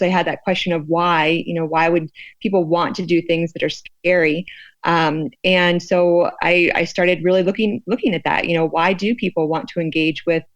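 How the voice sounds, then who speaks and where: Woman, lowish voice, thoughtful interview guest, halting explanations, dull lo-fi recording. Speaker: guest, sociologist and fear researcher.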